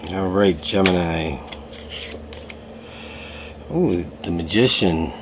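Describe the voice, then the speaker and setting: A man giving a casual tarot reading to camera, slightly hoarse from a lingering cough, talking in a loose way with many fillers. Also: sits low, takes it slowly, background noise. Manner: relaxed, loose, full of fillers and restarts. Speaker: tarot reader. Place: room at home.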